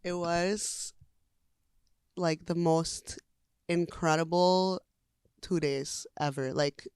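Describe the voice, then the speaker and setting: A young woman singer chats through an interview in a smooth, resonant voice, peppering her talk with "like" and laughing easily. The sound is close and dry, with no room around it. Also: fairly low pitch, fairly even intonation, very slow, a little mellow, no room sound. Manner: chatty, frequent "like" fillers, laughs easily. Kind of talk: casual interview chat.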